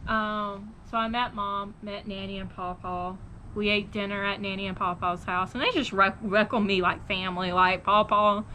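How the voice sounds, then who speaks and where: A woman with a bright, forward voice at a middling pitch reminisces about family. She is chatty and conversational, with faint noise around her. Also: flat delivery, normal speed, low-quality recording. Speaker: family member sharing memories.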